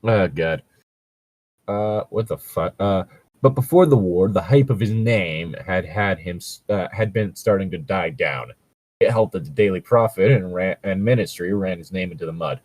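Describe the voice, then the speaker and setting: A man's voice: deep voice, moderate pace, darkish tone, no echo. A man doing casual comedic commentary as a co-host reading fan fiction aloud, sweary, mocking and exasperated. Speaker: podcast co-host.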